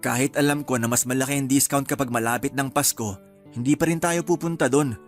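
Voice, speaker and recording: A man's voice: medium pitch, fairly even intonation, a little fast, faint music behind, close to an everyday voice. A man with a Filipino accent playing an agreeable, affectionate young husband in a radio-style drama. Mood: easygoing.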